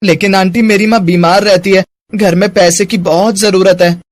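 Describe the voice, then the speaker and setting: A poor ten-year-old cartoon schoolboy, played by a man, with a very high voice. He speaks politely and earnestly, full of gratitude, in a moral story. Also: steady tone, moderate pace, dry recording, studio quality, lightly acted. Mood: earnest, troubled. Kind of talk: animated moral story dialogue.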